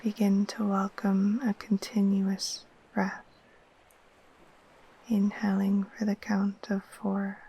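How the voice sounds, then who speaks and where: A woman leads a guided sleep meditation in a soft, breathy near-whisper. Her tone is mellow and very even, with little rise or fall. She speaks very slowly and gently, leaving long, soothing pauses. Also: medium pitch, poor audio. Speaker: yoga nidra guide. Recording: faint steady wash of ocean waves.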